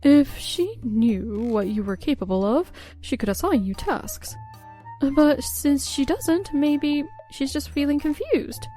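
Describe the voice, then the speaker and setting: A woman voicing a gentle, encouraging mother with a soothing, reasonable manner in a manga dub. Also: high-pitched, animated, slow pace, soft background music. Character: gentle, encouraging mother advising her grown daughter.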